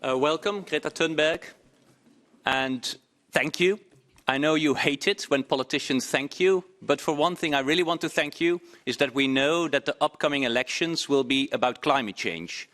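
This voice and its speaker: Man in parliament speaking earnestly to a committee, fairly high voice. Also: moderate pace, fairly bright tone. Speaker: member of parliament. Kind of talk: formal committee address.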